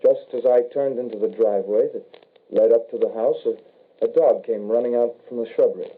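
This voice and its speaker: An actor playing a grave, regretful young soldier turned werewolf in a halting, somber 1940s radio horror style. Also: male voice, medium pitch, slightly rough, lightly acted. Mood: haunted, somber. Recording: noisy, tinny old-time radio recording.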